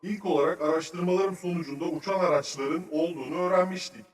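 Guy doing a high voiced friendly cartoon researcher, keen, kinda roomy and distant. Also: quick pace, close to an everyday voice. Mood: keen, instructive. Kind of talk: children's educational cartoon acting. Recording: roomy, slightly distant sound.

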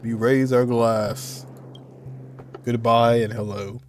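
A man's voice: medium pitch, slow pace, a little hiss or hum. Laid-back young man reacting to a game. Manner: relaxed, chatty, reacting aloud. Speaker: gaming reaction streamer. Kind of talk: casual reaction commentary. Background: game music.

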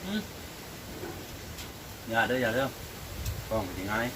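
Low-voiced Isan man vlogging. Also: a little slow, noisy background. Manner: relaxed, addresses viewers as brothers and sisters. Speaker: vlogger, father. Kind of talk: casual vlog talking to viewers.

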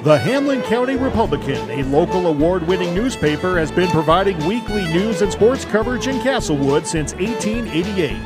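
A man's voice: high voice, lively intonation, moderate pace, few pauses. A man reading a scripted advertisement as a commercial announcer. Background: loud music.

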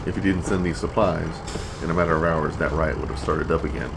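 A man plays a gruff captain in an audio drama. His voice is deep and he barks short, military orders. Vehicles and city noise sit clearly behind him. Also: steady tone. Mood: brisk, businesslike. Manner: brisk, clipped military orders. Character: gruff, commanding male police captain at a checkpoint.

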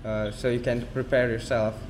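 A male interviewer on a headset asking hesitant questions over a video call, with halting restarts. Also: mid-range voice, little pitch movement, noisy background. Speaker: interviewer, host.

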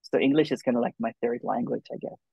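A man's voice: mid-range voice, steady tone, quick pace, vocal fry, slightly rough, no room sound. A man, chatting casually on a video call with lots of "you know" and "kinda like". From Philippines. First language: Filipino. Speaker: non-duality speaker and YouTuber. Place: room with a gray wall indoors. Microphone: webcam on a video call. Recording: dull lo-fi webcam audio.

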